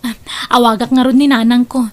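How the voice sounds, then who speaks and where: A high, girlish voice suits this young woman played by an actress. She is an affectionate, dutiful daughter in a family audio drama, speaking with open feeling. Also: little pitch movement, brisk, close to an everyday voice. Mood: mildly concerned. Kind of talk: audio radio-style drama acting.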